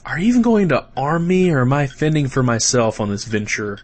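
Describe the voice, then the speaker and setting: A man's voice: mid-range voice, sing-song, brisk, a little hiss or hum, close to an everyday voice. A man playing an exasperated, skeptical sidekick in a comedic audio drama, with a sarcastic, incredulous delivery. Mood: wary, reluctant. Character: skeptical, exasperated male radio newsman, reluctant sidekick.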